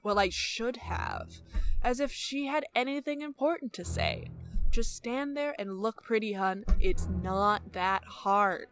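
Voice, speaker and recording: An actress playing a young college senior at a job interview in a radio play, emphatic and colloquial. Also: female voice, on the high side, animated, measured pace, fairly bright tone, soft background music. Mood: brash, indignant. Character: female college senior job applicant, turning brash bro.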